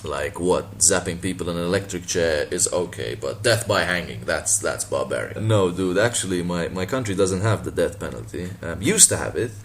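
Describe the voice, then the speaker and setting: Deep-voiced guy from malta, native maltese, sarcastic vlog rant, faint hum behind. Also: animated, normal speed, few pauses. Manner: sardonic, laughs often, swears casually. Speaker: boxing channel vlogger. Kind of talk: casual response video.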